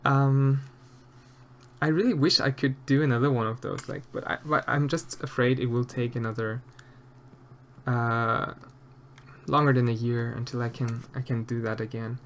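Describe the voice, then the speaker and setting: Austrian guy rambling softly on a stream, lots of ums, faint hiss and typing behind. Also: medium pitch, fairly even intonation, normal speed. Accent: Austrian German. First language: German. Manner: hesitant, many fillers and restarts, soft-spoken. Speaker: streamer answering chat while sculpting. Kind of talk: casual live-stream chat.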